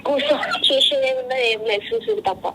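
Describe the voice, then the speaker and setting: A woman's voice: high-pitched, animated, fast talker, over loud music. A woman with a Telangana Telugu accent chatting casually on a phone call. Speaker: guest's wife on a phone call. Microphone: phone call played in the room.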